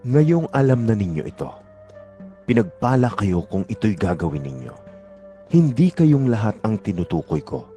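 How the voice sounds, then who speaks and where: Guy playing a solemn male teacher in a bible audio drama, low mellow voice, lots of rise and fall, earnest. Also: very animated, normal speed, faint music behind. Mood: earnest teaching.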